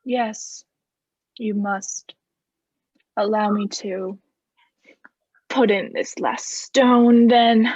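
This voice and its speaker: A woman's voice: medium pitch, fairly even intonation, very slow, pauses often, darkish tone, breathy edge, dry recording, muffled recording. A woman acting a cold, vengeful murderer in a radio play, speaking with formal, sardonic politeness as he mocks his victim. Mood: gloating.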